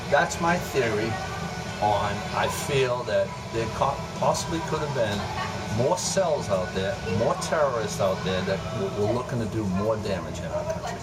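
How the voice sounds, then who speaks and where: An American man recounting memories in an unscripted interview, speaking haltingly and often repeating and restarting his words. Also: fairly low pitch, expressive. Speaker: interviewee, former air traffic controller. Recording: distant mic, loud music, vehicle-like hum.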